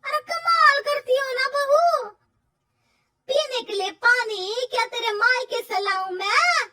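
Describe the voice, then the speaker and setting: A woman voicing a stubborn old village mother-in-law in a cartoon story, exclaiming loudly in rustic speech. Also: very high voice, takes it slowly, full character voice. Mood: scolding.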